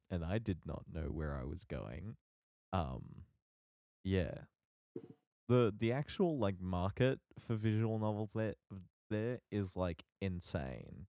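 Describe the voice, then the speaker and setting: A man's voice: deep voice, dark tone, dry recording, studio quality. A man co-hosting a podcast, bantering casually in a sarcastic, informal way. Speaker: podcast co-host.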